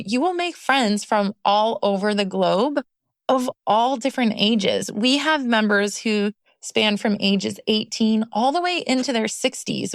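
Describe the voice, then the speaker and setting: A woman's voice: medium pitch, no room sound, clean studio sound. A woman hosting a podcast as a recovery coach, talking in an earnest, encouraging way. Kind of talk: scripted podcast monologue.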